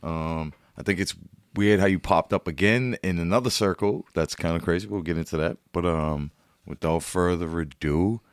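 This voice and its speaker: A man's voice: low-pitched, moderate pace, no room sound, studio quality. A man hosting a podcast, talking in a blunt, casual way full of swearing. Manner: blunt, swears a lot, animated. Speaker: podcast host. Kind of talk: casual profane chat.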